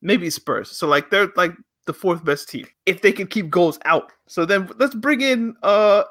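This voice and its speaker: A man's voice: high voice, unhurried, fairly bright tone. A man co-hosting a casual football chat, laid-back and joking, reading out listener questions and laughing often. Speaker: football podcast co-host.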